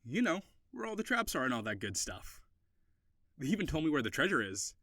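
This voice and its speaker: A man's voice: a little high, sing-song, a little fast, pushed and bright, dry recording, clean studio sound, heavily acted. A man playing a chatty, scheming and slyly devoted elf druid in an audio roleplay, rambling and coaxing as he addresses his companion. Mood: eager, chatty. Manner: rambling, eager, coaxing, self-correcting.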